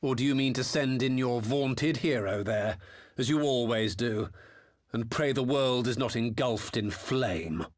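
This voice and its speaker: A man's voice: mid-range voice, measured pace, lightly acted. A man playing a smug, theatrical former imperial officer and master engineer in a video game, mocking with grandiose courtesy. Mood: needling, persuasive. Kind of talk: video game cutscene acting.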